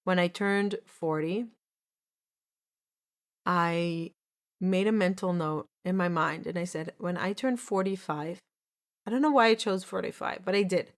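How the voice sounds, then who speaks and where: A woman's voice: lowish voice, a little slow, pauses often. A woman in her forties telling a personal story in an earnest, repetitive way on a podcast, often restarting her sentences. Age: 40s. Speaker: podcast host.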